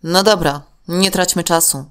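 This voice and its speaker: A woman's voice: on the low side, measured pace, smooth, natural voice. A woman voicing a serious, level-headed, worried young boy in a children's story, earnest and urgent.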